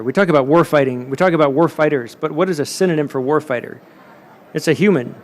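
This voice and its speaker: Moderator, male, slightly high voice, emphatic and repetitive delivery for a formal panel. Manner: emphatic, repeats words while building a point.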